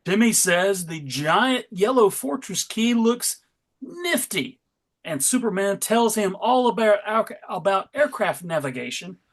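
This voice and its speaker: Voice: medium pitch, animated, unhurried. A narrator reading a comic book aloud dramatically, with wry asides.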